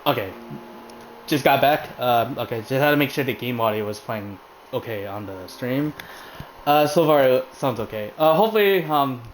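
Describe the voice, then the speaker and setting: A man's voice: mid-range voice, slight background noise, poor audio. A man streaming a game and chatting casually, rambling with lots of fillers and the odd curse word, in a hot room with a fan. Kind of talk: casual commentary while playing a game.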